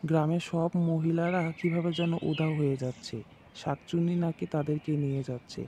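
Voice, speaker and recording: A worried village man, played by an actor talks earnestly in a cartoon, fairly high for a man. Clear outdoor noise and birdsong surround him. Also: flat delivery, moderate pace, dark tone, natural voice. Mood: pleading.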